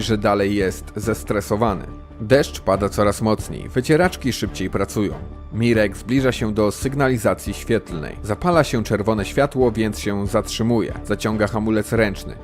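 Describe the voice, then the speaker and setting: Low-voiced male narrator over music. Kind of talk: scripted audio drama narration.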